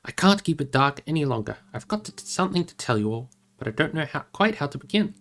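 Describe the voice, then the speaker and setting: A man's voice: a little high, big pitch swings, fast, fairly bright tone. Man reading as guarded, earnest hobbit Frodo. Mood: hesitant.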